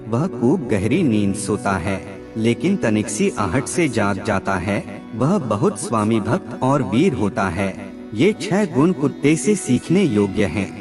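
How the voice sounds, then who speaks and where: Guy narrating old moral sayings, slow measured instructive read, music playing behind him. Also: lowish voice. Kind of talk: scripted narration of ancient moral aphorisms.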